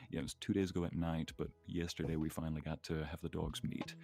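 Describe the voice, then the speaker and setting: A man's voice: a bass voice, level tone, quick pace, creaky, darkish tone, faint music behind. A man chatting casually on a livestream, telling stories in a playful way with an easy chuckle. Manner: chuckles often, playful storytelling. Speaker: streamer co-hosting a live chat.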